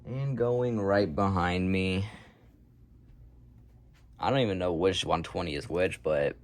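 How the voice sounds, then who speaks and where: A man's voice: low-pitched, slow pace, no room sound. A man giving a casual, unscripted show-and-tell as a hobby collector, talking in an offhand, matter-of-fact way with rambling asides.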